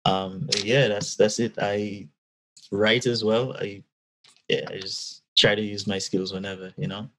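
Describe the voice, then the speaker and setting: A man's voice: fairly low pitch, steady tone, measured pace, background noise. A young man from Canada introducing himself on a video call, hesitant and mumbling, with lots of ums. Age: young adult. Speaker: podcast guest. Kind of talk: casual self-introduction.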